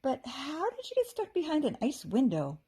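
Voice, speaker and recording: A woman's voice: high-pitched, wildly swooping pitch, moderate pace, dark tone, pitched above the performer's own voice. A woman voicing a caring, eager and slightly anxious young girl adventurer in a children's story. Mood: friendly, eager.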